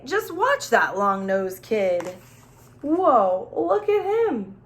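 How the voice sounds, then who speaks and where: A woman teacher reading a picture book aloud to young children, playful and expressive, pausing to ask simple questions and explain. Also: on the high side. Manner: expressive, playful, with gasps and exclamations. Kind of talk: children's storybook read-aloud with questions and asides.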